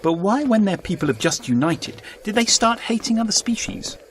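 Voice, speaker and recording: An actor playing a sceptical, puzzled travelling companion from Britain in an audio drama, dry and questioning. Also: male voice, high voice, animated, normal speed, a bit bright, slight background noise. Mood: puzzled, curious. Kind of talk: full-cast audio drama acting.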